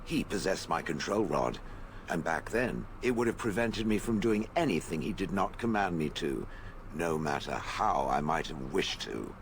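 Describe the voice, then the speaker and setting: Deep golem, haughty, sardonic, highly animated intonation, fantasy game dialogue, faint background noise. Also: male voice. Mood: musing, guarded. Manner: haughty, sardonic, deliberate phrasing. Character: haughty, sardonic stone golem with contempt for people.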